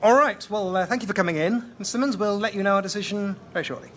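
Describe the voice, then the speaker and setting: Needed: male actor as fussy British interviewer, high register, halting and over-careful, radio sketch comedy. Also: heavy background noise, close to an everyday voice. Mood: awkward, guarded. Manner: hesitant, stammering, over-careful. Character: awkward, polite male job interviewer, covertly biased cat person.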